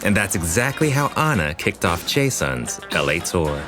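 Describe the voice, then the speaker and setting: An actor playing the storytelling narrator of a scripted romantic audio drama. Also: male voice, sits low, sing-song, normal speed, over loud music.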